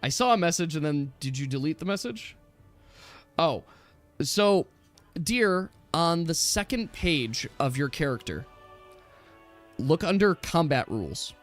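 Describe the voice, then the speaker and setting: A man's voice: fairly high pitch, big pitch swings, measured pace, pauses often. A man acting as game master in a casual tabletop game, explaining rules step by step. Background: background music.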